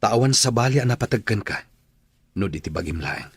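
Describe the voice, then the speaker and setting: An actor playing a stern, bitter husband in an Ilocano radio drama, speaking bluntly and accusingly in a husky Ilocano-accented voice. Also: male voice, on the low side, animated, a little fast, dry recording, heavily acted. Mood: angry, bitter. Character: bitter, stern husband throwing out his estranged wife.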